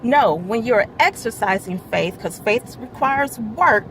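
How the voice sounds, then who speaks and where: A woman's voice: a little high, lively intonation, unhurried, low-quality recording. Earnest woman teaching outdoors near traffic. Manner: earnest, stresses key words and grows louder as she explains scripture; laughs lightly between remarks. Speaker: church media ministry overseer. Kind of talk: midweek devotional teaching.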